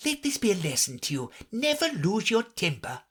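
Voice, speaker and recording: A man's voice: high-pitched, wildly swooping pitch, normal speed, slightly rough, voice pushed up, exaggerated voice. Man voicing a preachy old mother crab. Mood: admonishing. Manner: preachy, gentle.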